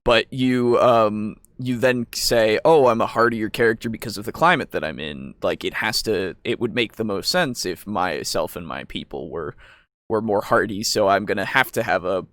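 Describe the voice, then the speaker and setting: A man's voice: lowish voice, moderate pace, a bit bright, no echo. A man co-hosting a casual discussion about tabletop games, talking hesitantly as he thinks aloud. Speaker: podcast co-host.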